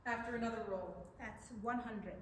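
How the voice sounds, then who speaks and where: A woman's voice: on the high side, moderate pace, fairly bright tone, close to an everyday voice. A young woman playing a friendly, self-deprecating college student in a staged play reading, casual and curious. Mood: dry. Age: young adult. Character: female international math student, friendly, self-deprecating newcomer. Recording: echoey room, background noise.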